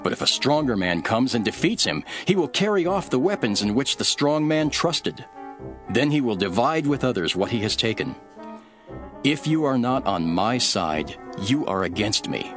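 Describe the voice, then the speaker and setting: An actor playing a calm, authoritative male teacher telling parables in an earnest, deliberate scripture drama. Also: mid-range voice, soft background music. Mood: firm, authoritative. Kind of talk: dramatised scripture reading, measured storytelling.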